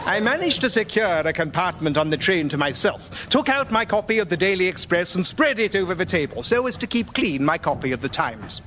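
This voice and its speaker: Pompous old-fashioned gentleman raconteur. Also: high voice, big pitch swings, heavy background noise. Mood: grandly whimsical. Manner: ornate, pompous, measured delivery. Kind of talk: mock 1930s storytelling in radio comedy.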